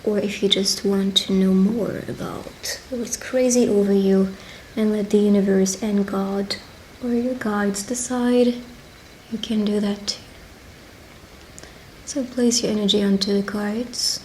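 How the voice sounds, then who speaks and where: A woman's voice: mid-range voice, fairly even intonation, unhurried, a little mellow, poor audio. Female tarot host, witch roleplay style, mid-range voice, one-to-one address, slight noise bed. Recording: clear background ambient noise.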